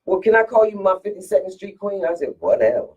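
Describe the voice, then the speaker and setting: A woman with a deep, strong voice, a singer from Philadelphia, telling stories in a casual radio interview with lots of restarts and repeated words. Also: very animated, fast, darkish tone, slightly rough, distant mic. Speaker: guest singer.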